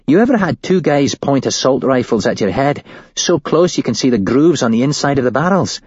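A man's voice: mid-range voice, expressive, a little fast, a little mellow, husky, no echo, dull audio, voice pushed up. A man voicing a shaken young woman who was held hostage, recounting it shakily and at a tumble. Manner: shaky, tumbling recollection.

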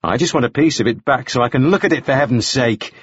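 A man voicing a suspicious, exasperated man who questions forcefully and scornfully in an audiobook. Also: medium pitch, fast talker, husky, no room sound, muffled recording, close to an everyday voice. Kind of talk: audiobook character acting.

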